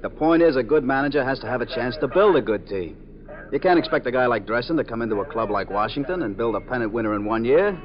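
An actor as a firm, pressing police detective. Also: male voice, mid-range voice, lightly acted. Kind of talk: 1950s radio drama acting. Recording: dull old radio broadcast.

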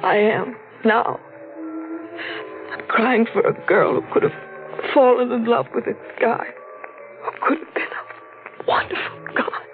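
An actress playing a tearful, lovelorn young brunette in a plaintive, melodramatic 1940s radio style. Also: female voice, a little high, a little slow, long pauses, close to an everyday voice. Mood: tearful, pleading. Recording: tinny old radio broadcast, music behind.

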